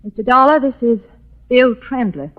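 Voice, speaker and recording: A woman's voice: a little high, lively intonation, normal speed. An actress playing a polite, composed young woman in a 1950s radio drama. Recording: muffled old-time radio recording.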